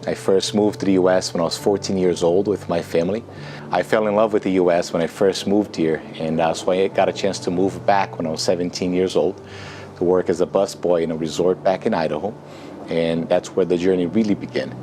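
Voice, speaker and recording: A man's voice: low-pitched, fairly even intonation, brisk, darkish tone, slight background noise. A man in his thirties with a Brazilian accent, speaking English as a second language in an earnest, reflective personal testimonial. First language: Portuguese. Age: 30s. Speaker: author and entrepreneur promoting a book. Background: music.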